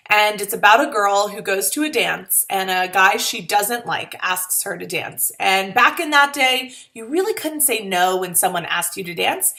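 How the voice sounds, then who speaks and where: A woman's voice: medium pitch, gravelly, bright, forceful tone. A woman casually and cheerfully introducing a story she is about to read aloud. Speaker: reader introducing a short story. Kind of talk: casual, upbeat introduction to camera.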